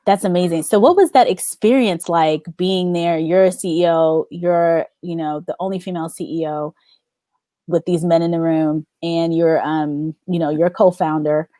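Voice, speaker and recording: A woman's voice: lowish voice, fairly even intonation, normal speed, mellow, no room sound, clean studio sound. A woman hosting a podcast interview, enthusiastic, often repeating and restarting her phrases as she asks questions. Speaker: podcast host.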